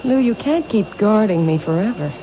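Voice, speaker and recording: A woman playing a troubled but quietly stubborn bookstore owner in a 1970s radio drama. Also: medium pitch, animated, moderate pace, close to an everyday voice. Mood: apologetic, worried. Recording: noisy, dull 1970s radio recording.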